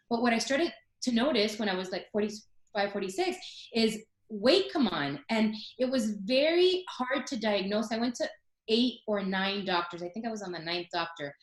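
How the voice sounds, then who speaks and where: A woman's voice: mid-range voice, fairly bright tone, echoey room, poor audio. A woman in her forties telling her story as a guest in a casual interview, chatty, with many fillers and restarts. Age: 40s.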